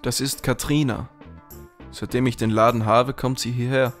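A man's voice: medium pitch, little pitch movement, moderate pace, faint music behind, natural voice. A man playing a friendly but shady kebab shop owner in a parody radio play. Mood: genial.

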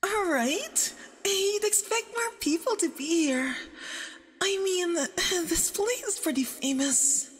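A very high-pitched, nervous femboy boyfriend played by a woman in an ASMR roleplay. He is sweetly doting and halting, with a clingy, obsessive streak. The voice is doubled and sits in a long reverb. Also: lively intonation, heavily acted. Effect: doubled audio with added reverb.

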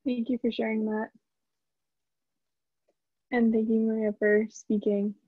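A woman's voice: fairly high pitch, little pitch movement, unhurried, breathy edge, dry recording. A young woman casually moderating a webinar from a small room, heard through thin, phone-like video-call audio. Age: young adult. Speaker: student host of a webinar. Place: small bedroom.

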